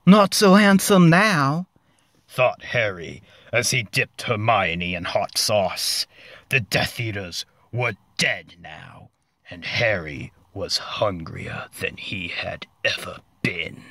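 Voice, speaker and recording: A man narrating a comic story as a dramatic reading, deliberate and mock-serious, with dramatic pauses. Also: deep voice, gravelly, sharp tone, breathy edge, no echo.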